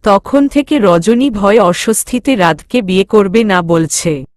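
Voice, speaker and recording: A woman's voice: medium pitch, measured pace, no room sound, studio quality. A woman reading scripted narration of a romantic drama story as a storyteller.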